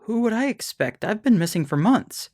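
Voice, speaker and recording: A woman's voice: medium pitch, big pitch swings, normal speed, a little mellow. An actress playing a wary, matter-of-fact woman in an audio drama. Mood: wary, serious.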